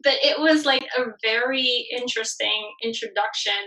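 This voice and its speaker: Lady from bali in her 30s, bright high-ish voice, chatty and animated, laughs a lot. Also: moderate pace, low-quality recording. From Bali, Indonesia. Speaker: guest, branding expert. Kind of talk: casual podcast interview.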